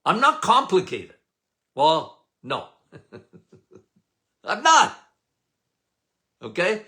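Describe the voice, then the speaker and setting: Older canadian guy host, loud and punchy, bright voice, slow but bouncy pitch. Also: huge pitch swings. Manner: loud, emphatic, repeats "okay?" often. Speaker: podcast host. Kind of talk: casual live talk.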